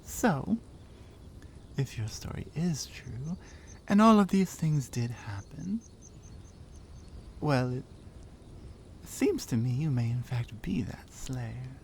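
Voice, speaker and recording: A woman's voice: a bass voice, very animated, slow pace, gravelly, pauses often, a little mellow, slight background noise, exaggerated voice. A woman acting a bold, teasing Nordic warrior in an intimate ASMR roleplay, with a Nordic accent and a playful, confident manner. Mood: earnest, ambitious.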